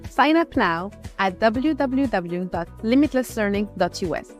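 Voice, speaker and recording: A woman's voice: mid-range voice, expressive, unhurried. Algerian woman, scripted promo over music. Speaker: founder presenting an e-learning platform. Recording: music behind the voice.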